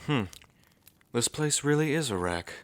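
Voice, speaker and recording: A man voicing a wry, gentle male dragon archmage and royal advisor who is self-deprecating and easygoing. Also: mid-range voice, lively intonation, a little slow, close to an everyday voice.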